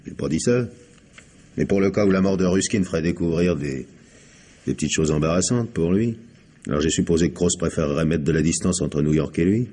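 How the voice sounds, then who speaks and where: Sly, husky-voiced butler. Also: male voice, deep voice, a little fast, mellow. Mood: falsely innocent. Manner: colloquial, feigned innocence. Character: sly, evasive butler under police questioning. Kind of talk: French radio crime drama acting.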